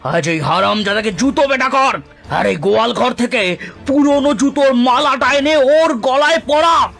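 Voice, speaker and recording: Cartoon villain: haughty moneylender bully, high-pitched, slow, mocking, music underneath. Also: male voice, creaky, a bit bright, exaggerated voice. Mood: gloating, commanding. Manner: contemptuous, mocking, taunting. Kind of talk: dramatic cartoon story acting.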